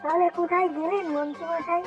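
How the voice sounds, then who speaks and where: A very high-voiced, agreeable cartoon courtier, played by a woman. The sound is dull and set back from the microphone, with music behind. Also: steady tone, fast, distant mic. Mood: agreeing, puzzled. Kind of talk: Bengali cartoon voice acting.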